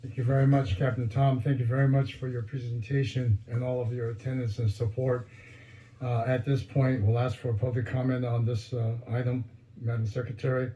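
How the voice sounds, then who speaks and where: A man's voice: mid-range voice, level tone, fairly quick, mellow. A man presiding over a commission meeting, calling on speakers in formal procedural phrasing. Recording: dull lo-fi meeting audio.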